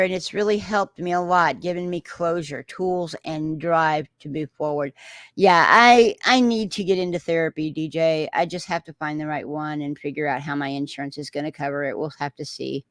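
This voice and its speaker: A Texan woman chats her way through a livestream, pausing often on filler sounds and restarting her sentences. Her voice sits somewhat low and unhurried, recorded close and dry with no room sound. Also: no room sound. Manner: rambling, many "um"s and restarts. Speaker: livestream host reading viewer comments. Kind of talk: casual livestream chat.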